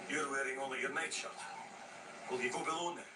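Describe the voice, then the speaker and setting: An actor playing a sober, rational, formal first mate in a radio drama. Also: male voice, medium pitch, steady tone, brisk, close to an everyday voice. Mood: concerned. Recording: echoey, distant, very noisy recording.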